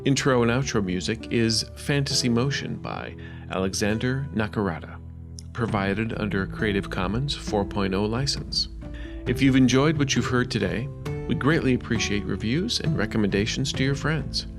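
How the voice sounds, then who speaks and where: A man's voice: lowish voice, normal speed, creaky, music in the background. A man reading scripted narration as the narrator of an audio story.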